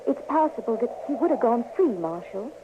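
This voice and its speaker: A woman's voice: a little high, very animated, a little fast. A woman playing an earnest, principled and stubborn frontier woman in an old western radio drama, speaking with proper, pleading conviction. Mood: gentle, earnest. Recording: noisy, muffled old radio recording.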